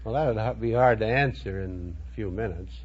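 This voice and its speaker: American guy from minnesota, low plain voice, matter of fact contestant answers, muffled old radio sound. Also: a little fast, a little mellow. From Minnesota, United States. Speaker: quiz contestant, police chief. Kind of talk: unrehearsed interview answers. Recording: muffled old radio recording, faint noise.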